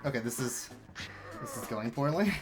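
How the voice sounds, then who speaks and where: A man's voice: medium pitch, expressive, moderate pace. A man giving casual, joking live speedrun commentary with a sarcastic edge. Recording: game music playing behind.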